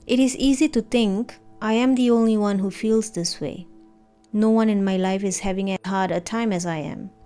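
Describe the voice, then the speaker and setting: A woman narrating a guided meditation in a gentle, soothing, unhurried manner. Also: mid-range voice, fairly even intonation, clear, resonant tone. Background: background music.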